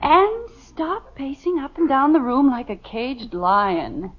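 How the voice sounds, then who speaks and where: An actress playing a patient, knowing middle-aged American wife and mother who scolds gently and dryly in an old-time radio comedy. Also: high-pitched, very animated, slow pace, heavily acted. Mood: scolding. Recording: muffled, hissy vintage radio recording.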